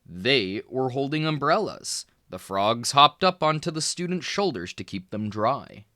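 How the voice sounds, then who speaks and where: Male read-aloud narrator, expressive storybook delivery, bright voice, slow pace. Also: mid-range voice, no echo, clean studio sound. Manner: lively, expressive reading with small voice shifts for characters. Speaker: children's book reader.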